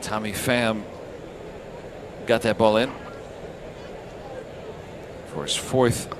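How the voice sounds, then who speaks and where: A man's voice: fairly low pitch, steady tone, very slow, background noise. A man doing live radio play-by-play commentary of a baseball game.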